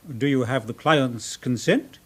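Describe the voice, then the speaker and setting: An actor playing a stiff, officious safe-deposit manager in a radio drama, speaking formally and precisely. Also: male voice, mid-range voice, measured pace, natural voice. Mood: guarded, formal. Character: stiff, officious male safe-deposit vault manager, guarded about clients. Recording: echoey room with faint noise.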